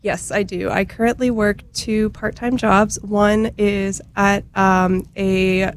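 A woman's voice: mid-range voice, little pitch movement, slow. A young adult woman, a guest on a radio show, talking in a hesitant way with many fillers. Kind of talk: casual radio interview.

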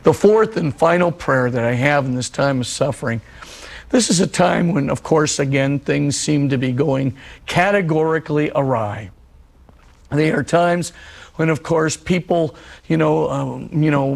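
A middle-aged man with a husky, tired voice, teaching in a conversational, story-driven way with lots of asides. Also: fairly high pitch, a little slow. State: losing his voice, husky. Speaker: priest giving a televised talk. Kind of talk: spoken religious teaching.

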